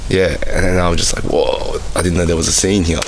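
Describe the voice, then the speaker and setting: A man's voice: deep voice, fairly even intonation, fast. An Australian man and dance teacher, a podcast guest who talks in a laid-back way with short answers and frequent laughter. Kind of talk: casual interview chat. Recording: background noise.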